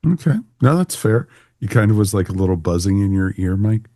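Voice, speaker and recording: A man's voice: lowish voice, lively intonation, brisk, warm tone, no room sound, clean studio sound. A man hosting a podcast, chatting casually as he leads a panel review. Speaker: podcast host.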